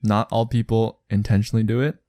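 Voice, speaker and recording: A man's voice: fairly low pitch, level tone, fast, no echo. A young man co-hosting a podcast with a naturally projecting voice, chatting informally and putting questions. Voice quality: loud, projecting voice. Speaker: podcast co-host. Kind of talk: casual chat.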